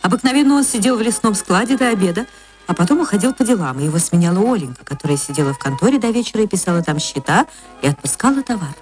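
A woman reading literary fiction as an actress narrator, expressive and theatrical, moving between hushed and swelling phrases. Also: medium pitch, racing, faint music behind.

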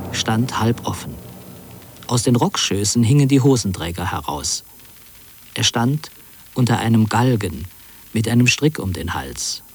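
A middle-aged man narrating an autobiographical radio piece in a measured, precise literary manner. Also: mid-range voice, darkish tone, slightly rough.

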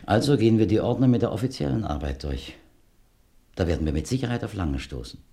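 An actor playing a calm, methodical detective in a German radio crime drama, measured and deliberate in his delivery. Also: on the low side, creaky, a little hiss or hum. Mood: businesslike. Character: calm, methodical male detective leading an investigation.

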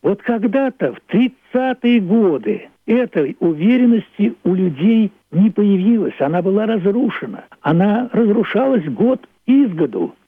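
An elderly man from Russia speaking reflectively and deliberately, in emphatic phrases with repetitions, like a philosophical monologue. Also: sits high, sing-song, no room sound. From Russia, Leningrad. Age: older, 80s. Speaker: playwright. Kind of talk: reflective radio monologue about own play and history. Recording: thin phone-like band-limited sound.

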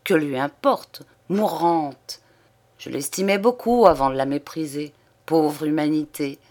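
A woman reading an audiobook, voicing an excitable, talkative old man who is vain and emphatic. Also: low-pitched, a little slow. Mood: shocked, agitated. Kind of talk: audiobook character dialogue.

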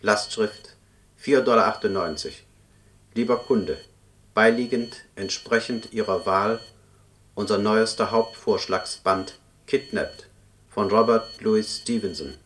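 An actor voicing a book club's computer in a radio play, reciting formal demand notices in an impersonal, stiff way. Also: male voice, fairly low pitch, takes it slowly, fairly bright tone. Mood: impersonal, official. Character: male book club computer reading out form demands. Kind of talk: scripted radio play, formulaic official notices. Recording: noisy, with background ambience.

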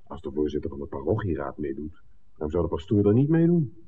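An actor playing a shrewd, excitable filmmaker who reasons aloud emphatically in a 1960s Dutch radio drama. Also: male voice, extremely low pitch, fast, a little hiss or hum. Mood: agitated, reasoning. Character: John, shrewd excitable filmmaker piecing together a smuggling plot. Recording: roomy reverb, phone-like old radio recording.

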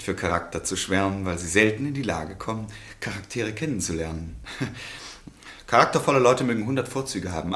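An actor playing a proud, lovesick count in a radio play, formal and ironic. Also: male voice, low-pitched, fairly bright tone. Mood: sardonic. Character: proud, wounded count in love, pleading and sardonic. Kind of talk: German literary radio play acting.

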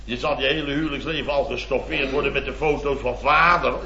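An actor playing a blustering, indignant middle-aged married contractor, telling a rambling, exasperated comic anecdote in a radio serial. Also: male voice, medium pitch, steady tone, fast, crowd noise, close to an everyday voice. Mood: sheepish, indignant. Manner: exasperated, rambling, mimics others mockingly. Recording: muffled old radio, echoey, audience laughter.

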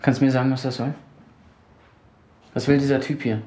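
An actor playing a jealous, sarcastic husband in a naturalistic radio drama, curt and needling. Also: male voice, medium pitch, little pitch movement, fairly quick, a little mellow, close to an everyday voice. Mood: irritated, scornful. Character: tense, jealous, sarcastic husband, an interior designer.

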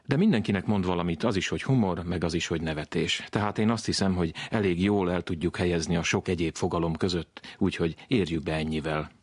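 A man narrating a radio science program in a measured, lightly ironic scripted style. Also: low voice, slight background noise.

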